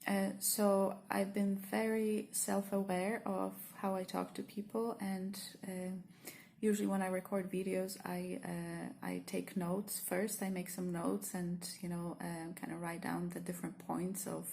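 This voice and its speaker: A woman with a Polish accent shares spontaneous reflections with her viewers, pausing often on fillers and starting over. Her voice sits low and gravelly, and her pitch stays flat and even throughout. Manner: hesitant, many fillers and restarts, earnest. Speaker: spiritual teacher and vlogger. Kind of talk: spontaneous reflective monologue to camera.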